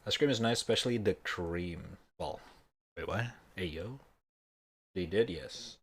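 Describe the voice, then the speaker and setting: A man's voice: sits low, a little slow, breathy edge. A young man from Indonesia chatting casually on a live stream, rambling and swearing in a laid-back way.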